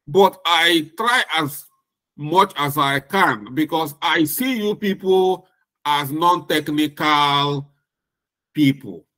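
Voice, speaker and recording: Middle-aged Nigerian-accented male lecturer, slightly high voice, slow and deliberate. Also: sharp tone, low-quality recording. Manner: slow, deliberate, with emphatic repetition. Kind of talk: recorded lecture.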